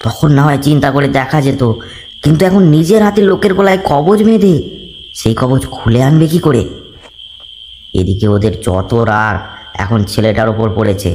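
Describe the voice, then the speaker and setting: Male narrator for animated horror tale: mid-range voice, dramatic scripted reading. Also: mid-range voice, slight background noise. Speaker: story narrator.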